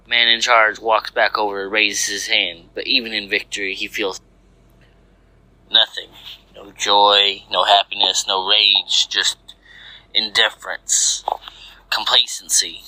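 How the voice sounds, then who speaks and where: A man's voice: fairly low pitch, flat delivery, measured pace, pushed and bright, no room sound, poor audio. A man reading scripted action fiction aloud as a storyteller. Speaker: story narrator. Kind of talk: scripted narration of fan fiction.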